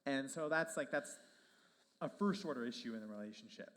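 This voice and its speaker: A man's voice: mid-range voice, fairly quick, a bit bright. A man speaking on a panel in a casual manner, full of "like" and "um". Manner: casual, lots of "like" and "um". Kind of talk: panel discussion.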